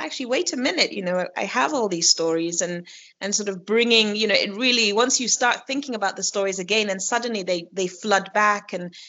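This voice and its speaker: A Kenyan-raised woman who works as a storyteller, talking in a relaxed interview with many restarts. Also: medium pitch. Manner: warm, reflective, with frequent restarts and laughs. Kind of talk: conversational interview. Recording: dull lo-fi audio.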